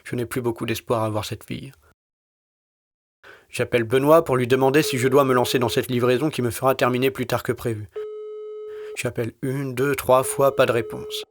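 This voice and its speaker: A man's voice: medium pitch, steady tone, normal speed. A man narrating a first-person audio short story in terse, weary, wry and colloquial style.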